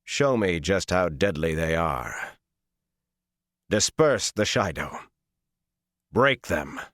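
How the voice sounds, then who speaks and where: A male narrator plays a hard-edged young leader in a fantasy audiobook. The voice is low and imperious, giving orders sternly, with a dry sound. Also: sing-song, slow pace, fairly bright tone, no room sound, exaggerated voice. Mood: cold, commanding. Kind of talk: audiobook character acting.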